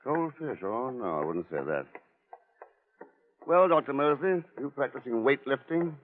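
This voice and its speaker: A man's voice: mid-range voice, expressive, measured pace, slight background noise, lightly acted. A man playing a cool, watchful investigator in a vintage radio drama, speaking with dry, suave understatement. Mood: wry. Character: cool, wry, watchful male investigator hero. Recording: muffled, band-limited old radio recording.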